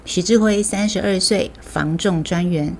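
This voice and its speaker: A woman's voice: fairly low pitch, unhurried, a little mellow. A woman with a Taiwanese accent, a storytelling host reading scripted narration. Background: music bed.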